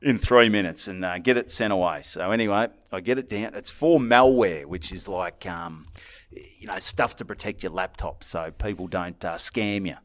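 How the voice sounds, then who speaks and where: A low-pitched Australian man co-hosting a podcast. He rambles through a long story in casual, crude banter and swears freely. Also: moderate pace, fairly bright tone. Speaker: podcast co-host.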